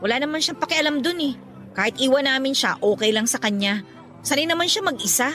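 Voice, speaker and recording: A woman's voice: high-pitched, lively intonation, a little fast, fairly bright tone, soft background music. A woman playing a troubled, indignant young working woman in a Tagalog radio drama, talking in an emotional, aggrieved way. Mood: weary, resolute.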